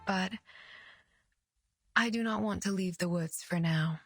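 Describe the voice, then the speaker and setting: An actress playing a serene, aloof arcanist of the woods in a video game, speaking in a measured, formal and courteous way. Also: female voice, fairly low pitch. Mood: calm, detached. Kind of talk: scripted game voice acting. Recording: loud music behind the voice. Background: loud background music.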